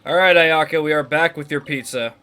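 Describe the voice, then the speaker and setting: A man's voice: a little high, steady tone, a little fast, bright, forceful tone, clear, resonant tone. A man giving casual, joking and self-deprecating commentary as he plays through a video game. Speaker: gaming video host.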